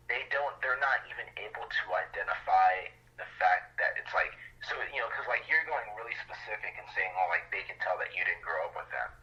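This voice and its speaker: A man's voice: fairly low pitch, sharp tone. A man giving reflective, conversational interview answers as a podcast guest, often hesitant and searching for words. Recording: thin, muffled phone or radio-like line.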